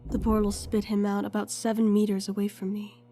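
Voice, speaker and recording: Girl acting young heroine telling her story, soft mellow tone, earnest, unsure, slight hum behind. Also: medium pitch, completely flat delivery, a little fast, lightly acted. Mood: tense, earnest. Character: hesitant young gifted woman, apologetic, narrating her own fight. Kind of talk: first-person story narration and audio drama acting.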